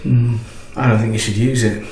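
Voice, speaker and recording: An actor playing a harried, distracted husband in a naturalistic radio drama, hesitant, apologetic and easily flustered. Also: male voice, medium pitch, steady tone, moderate pace, darkish tone, roomy sound, slight background noise, lightly acted, low-quality recording. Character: harried, distracted husband juggling work calls and house problems.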